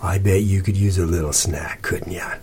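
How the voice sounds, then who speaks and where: A man's voice: low-pitched, moderate pace, a little hiss or hum, close to an everyday voice. A man reading a story, voicing a confident girl who is soothing and coaxing with a dog and bossy with her friends.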